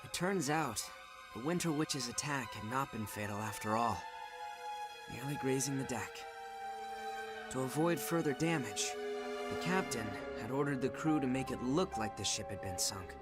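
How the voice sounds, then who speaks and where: An actor playing a steady young male squad leader who also narrates in a measured, earnest way. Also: medium pitch, loud music behind the voice, natural voice. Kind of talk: game narration and dialogue. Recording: game audio under loud score.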